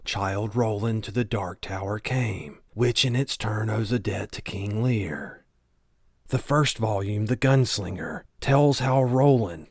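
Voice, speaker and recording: A man reading scripted audiobook narration in a measured, solemn storytelling manner. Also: mid-range voice, darkish tone, no room sound, studio quality. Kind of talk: scripted audiobook narration of a fantasy novel recap.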